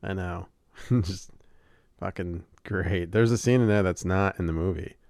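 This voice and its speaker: A man's voice: low voice, unhurried, gravelly, darkish tone, no room sound, studio quality. A man hosting a casual chat about movies who laughs often, swears freely and retells scenes from films. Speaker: podcast host.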